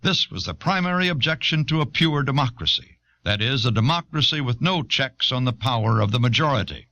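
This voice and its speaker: A man narrating a scripted documentary in a measured, explanatory way, recorded on an old audio cassette. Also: mid-range voice, lively intonation, pushed and bright, lo-fi sound.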